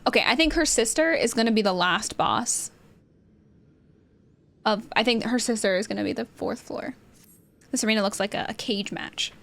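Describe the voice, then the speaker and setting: A woman streamer giving casual, animated gameplay commentary, joking and slangy, prone to excited outbursts. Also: fairly high pitch, normal speed, pauses often. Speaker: streamer playing an online role-playing game. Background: game music.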